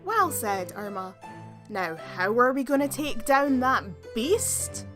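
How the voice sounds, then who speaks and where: A woman's voice: fairly high pitch, big pitch swings, slow. A woman voicing a fussy, sceptical teenage magical girl in a comic fan dub. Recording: loud music behind the voice.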